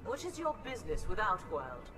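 A woman's voice: mid-range voice, sing-song, normal speed, over loud music, lightly acted. A woman voicing a haughty, threatening female villain in a fighting video game, with a taunting delivery. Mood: stern. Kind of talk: video game character dialogue.

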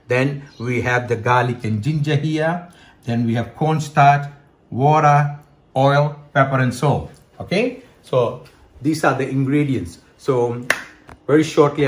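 A man in his fifties from Hong Kong talks through a home cooking demo in his own kitchen. He keeps checking in with the viewer as he goes, and his voice sits at an ordinary middle pitch. He is picked up by a camera held close by. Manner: chatty, explains step by step, many "okay" and "right" check-ins. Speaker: cooking show host. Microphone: camera close by, handheld.

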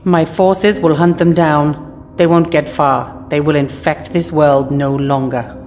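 A woman's voice: low voice, steady tone, a little slow, a little mellow, long reverb tail. A woman voicing a stern, commanding alien empress who speaks in a formal, clipped way in an audio drama.

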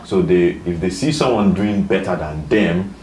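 Deep-voiced young man, thoughtful host. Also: normal speed, darkish tone. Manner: thoughtful, asks questions, lots of "mm-hmm" backchannel. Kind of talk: casual discussion. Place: living room with bookshelf, indoors. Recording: roomy, distant, faint room hiss.

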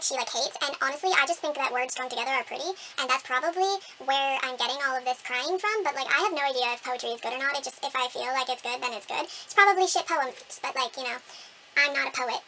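A young woman chatting casually to camera as a book vlogger, animated, gushing and poking fun at herself. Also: high voice, fast talker, a little hiss or hum, poor audio. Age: young adult. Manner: animated, gushing, self-mocking. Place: small room at home with bookshelves.

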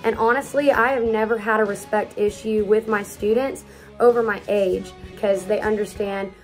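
An upbeat young woman in her early twenties talks to camera in a casual question-and-answer vlog, gesturing as she speaks. She is recorded close up in a small room at home, with soft music faintly underneath. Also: medium pitch, fairly even intonation, normal speed. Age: early 20s. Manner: chatty and upbeat, talks with lots of hand gestures. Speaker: youth pastor.

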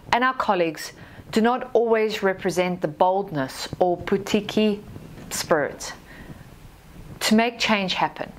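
A woman in her thirties with a Zimbabwean accent gives a prepared conference presentation in a formal, measured manner. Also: medium pitch, slight background noise, rough recording. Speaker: army lessons manager giving a presentation. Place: small indoor studio with dark backdrop. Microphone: lapel mic.

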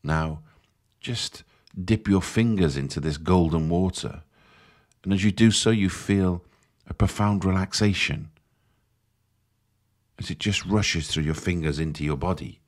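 A man with a low, deep voice leads a guided meditation. He speaks in a measured, soothing way, giving gentle instructions as a guide would.

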